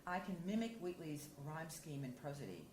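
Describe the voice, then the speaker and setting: A woman editor reading poetry aloud at a symposium, measured and deliberate. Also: sits low, sing-song, darkish tone. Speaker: anthology editor and reader. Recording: roomy hall with faint hiss.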